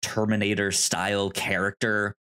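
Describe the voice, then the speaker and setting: A man co-hosting a podcast, discussing films casually in a halting, rambling way with easy laughter. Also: lowish voice, little pitch movement, bright, forceful tone, smooth. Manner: rambling, stammering, laughs easily. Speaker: podcast co-host.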